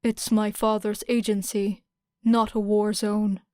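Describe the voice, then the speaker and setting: Stoic teenage boy, voiced by a woman, aloof, detached, flat delivery, clear voice, audiobook character. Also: mid-range voice, slow pace, no echo, clean studio sound, close to an everyday voice.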